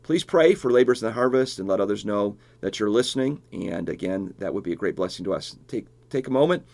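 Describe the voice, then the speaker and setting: A middle-aged or older man with a gravelly voice, speaking as a pastor hosting a podcast interview. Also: on the low side, lively intonation, fairly quick, a little mellow. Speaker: podcast host and church pastor.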